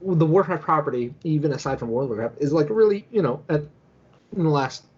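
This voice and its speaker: A man's voice: a little high, animated, brisk, a little mellow, husky. A man co-hosting a podcast in casual, rambling talk full of filler phrases. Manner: rambling, filler-heavy with many "you know"s. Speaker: podcast co-host. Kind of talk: casual chat. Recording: dull, lo-fi voice recording.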